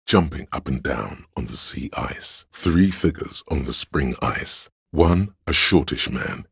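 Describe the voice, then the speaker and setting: An actor voicing a grave, watchful, wry inner voice of police loyalty in a video game, with a gravelly, husky bass and measured, solemn storytelling. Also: male voice, fairly bright tone, no room sound, clean studio sound, heavily acted. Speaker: game narrator. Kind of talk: scripted literary narration.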